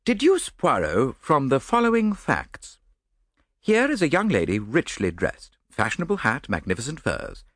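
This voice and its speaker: A man voicing an earnest, romantic gentleman, eager and exclaiming. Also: mid-range voice, wildly swooping pitch, normal speed, no echo, clean studio sound, pitched above the performer's own voice.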